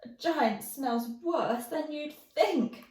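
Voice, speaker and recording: Woman as an excitable, high-pitched young boy. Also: slow. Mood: disgusted, startled. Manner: exclaiming, eager. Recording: roomy, echoey, distant mic.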